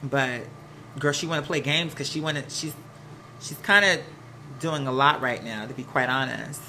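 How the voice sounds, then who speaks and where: A live stream host with an American accent chatting casually and gossiping, sassy and animated, slangy and rambling from one aside to the next. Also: mid-range voice, moderate pace, slight background noise. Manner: sassy, slangy, peppered with "girl" and "honey". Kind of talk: casual live chat to viewers, gossipy commentary.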